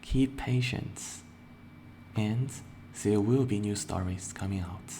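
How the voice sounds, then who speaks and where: A young man introducing himself as a virtual streamer and answering questions in a slow, deliberate way, with playful bursts of excitement. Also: on the low side, a little hiss or hum. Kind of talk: casual self-introduction and Q&A.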